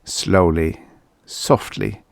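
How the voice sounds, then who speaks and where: A man narrating fiction in a dramatic, measured and deliberate literary reading style. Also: deep voice, steady tone, gravelly, no echo, studio quality. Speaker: audiobook narrator.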